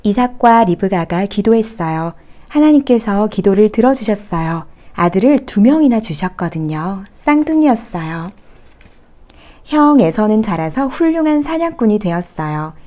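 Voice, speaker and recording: A woman narrating scripted Bible stories for small children in a gentle, explanatory way. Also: medium pitch, expressive, moderate pace. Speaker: storyteller.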